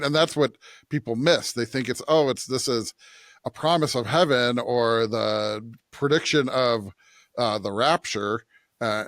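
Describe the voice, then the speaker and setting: An American man co-hosting a conversational religious podcast, thinking aloud in a halting way, often rephrasing himself and checking in with his listener. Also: medium pitch, no echo, studio quality. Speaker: podcast co-host, preacher.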